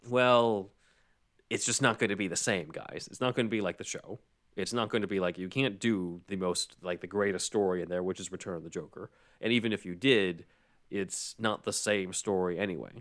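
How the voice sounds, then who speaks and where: A man's voice: low voice, fairly bright tone. A man hosting a podcast, chatting casually and explaining things as he reads out listener comments. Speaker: podcast host.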